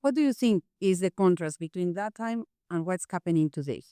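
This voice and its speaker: A woman's voice: lowish voice, expressive, fairly quick, warm tone, smooth, no room sound, clean studio sound. A woman moderating a live panel discussion, chatty and enthusiastic, slipping between Spanish and English as she poses questions. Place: library event space.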